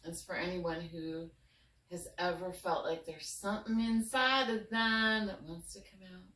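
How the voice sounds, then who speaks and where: Slow-speaking expressive woman in a roomy space. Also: fairly low pitch. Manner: earnest, expressive, halting in thought. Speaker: fairy tale writer and storyteller. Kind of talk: heartfelt personal talk, then storybook reading. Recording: roomy, distant mic with background noise.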